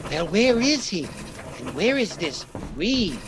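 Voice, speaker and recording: A noisy radio drama recording of a man playing a fretful homeowner. His pitch jumps around a lot as he speaks in an anxious, fussy, questioning way. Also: big pitch swings, slow, exaggerated voice. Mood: agitated. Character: fretful, easily rattled male owner of haunted house.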